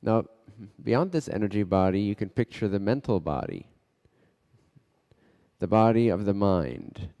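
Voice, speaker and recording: A man with a mellow, fairly low voice guides a meditation in a long, reverberant space. He speaks in short phrases and leaves pauses between them, unhurried as a teacher leading students inward. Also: animated.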